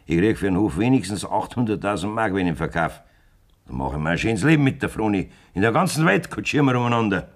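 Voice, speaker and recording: An actor playing a blunt, scheming middle-aged farmer with a Bavarian accent in a German radio play. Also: male voice, lowish voice, lively intonation, fast talker. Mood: calculating, boastful. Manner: blunt, domineering. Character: hard, scheming farmer demanding a divorce from his wife.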